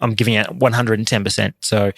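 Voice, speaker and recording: A man's voice: lowish voice, flat delivery, quick pace, vocal fry, a bit bright, slightly rough, no echo, studio quality. An Australian man chatting casually as a podcast guest, with a modest, slightly hesitant manner. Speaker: podcast guest, competition barista.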